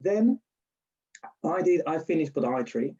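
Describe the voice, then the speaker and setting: A man's voice: a little high, animated, measured pace, dark tone, slightly rough, muffled recording. A man from Spain with a strong Spanish accent, chatting in an interview and telling stories with restarts and laughs. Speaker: lecturer being interviewed. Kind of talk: casual interview.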